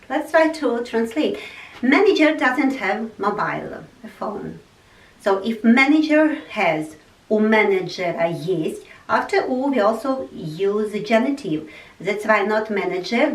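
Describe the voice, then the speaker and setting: A woman teaching a language lesson at an unhurried pace, patiently repeating each example. Her voice sounds a bit distant from the mic. The room around her is echoey. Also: a little high.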